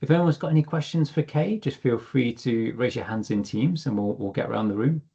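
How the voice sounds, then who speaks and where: A man's voice: medium pitch, fairly quick, vocal fry, warm tone, muffled recording. A man hosting a virtual user group, upbeat and full of praise, with many fillers. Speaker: host. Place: online video call.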